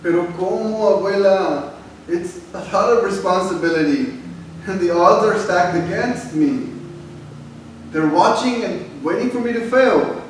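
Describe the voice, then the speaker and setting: A man's voice: high-pitched, measured pace. A man playing an upset, passionate young Mexican American grandson in a staged reading, mixing English and Spanish. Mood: overwhelmed. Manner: impassioned, mixes English and Spanish. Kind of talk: staged reading, emphatic theatrical delivery. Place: theater stage, recorded from the audience. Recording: echoey hall from far off, faint noise.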